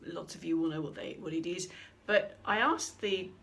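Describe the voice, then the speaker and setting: A middle-aged woman, a communication trainer, giving an instructional talk and telling a story with lively, acted-out quotes. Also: on the low side, normal speed, gravelly. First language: English. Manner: animated storytelling, acting out quoted speech.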